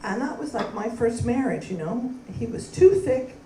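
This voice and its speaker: A woman from Canada doing a stand-up comedy set, delivering deadpan punchlines and bantering with the crowd on a club stage. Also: a little high, moderate pace, slight background noise. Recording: roomy live club sound from a distance with crowd laughter.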